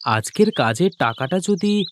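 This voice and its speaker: A man's voice: sits high, very animated, a little slow, noisy background. A man voicing a meek, put-upon poor labourer and doting father in a cartoon, often pleading. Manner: pleading, hesitant with superiors. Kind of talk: cartoon voice acting.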